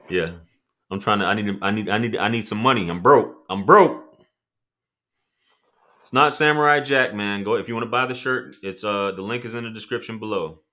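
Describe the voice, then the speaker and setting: A man with a deep voice hosting a casual livestream, reading chat comments and talking loosely with profanity and laughing asides. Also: moderate pace, pauses often, bright, forceful tone.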